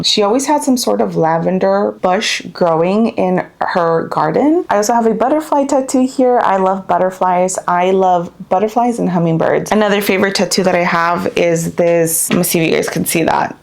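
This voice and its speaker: A woman's voice: on the low side, vocal fry, darkish tone. A young woman from Southern California chatting earnestly and reflectively, answering viewer questions in a casual vlog. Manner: earnest, reflective, lists things in runs. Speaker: YouTube creator. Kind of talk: casual Q&A chat.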